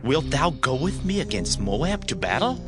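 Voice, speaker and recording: A troubled war-time king from a scripture audio drama, acted by a man. His mid-range voice swoops dramatically as he declaims, with clear music underneath. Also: medium pitch, sing-song, normal speed, natural voice. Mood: urgent.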